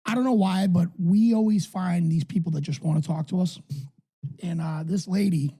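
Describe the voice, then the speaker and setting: A raspy-voiced man chats and tells stories on a podcast, swearing freely. His voice sits high for a man yet sounds dark and mellow, with a gravelly, husky edge throughout. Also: normal speed. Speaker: podcast co-host. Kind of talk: casual chat, storytelling.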